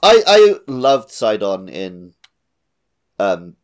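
Man, fairly low pitch, casual podcast co-host, thinking aloud, gaming discussion. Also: sing-song, extremely slow. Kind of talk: casual discussion of a video game.

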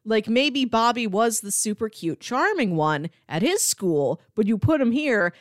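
A woman talking casually as a podcast host, animated and peppering her sentences with "like". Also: on the high side, a little slow, no room sound, studio quality. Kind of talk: casual chat about comics.

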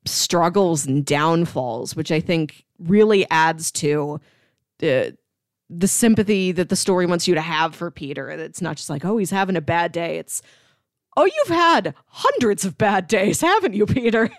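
A woman's voice: on the low side, lively intonation, measured pace, dry recording, clean studio sound. A woman co-hosting a podcast, casually discussing films in an enthusiastic, analytical way with frequent emphasis. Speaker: podcast co-host.

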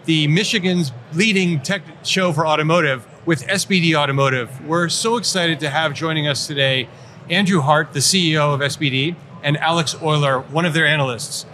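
Over clear event-floor noise, a man hosts a casual podcast interview. His voice sits a little higher than average, and he speaks in a conversational interviewer's style. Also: normal speed, bright, forceful tone. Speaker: podcast host. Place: live at an automotive trade show. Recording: noisy trade show floor. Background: clear ambient noise.

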